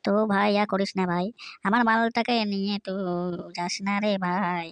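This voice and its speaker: A woman's voice: medium pitch, fairly even intonation, normal speed, smooth, no room sound, close to an everyday voice. An earnest, bashful young cartoon man, played by a woman. He talks plaintively. The recording is dry and close. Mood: pleading, hurt. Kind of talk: comic cartoon dialogue.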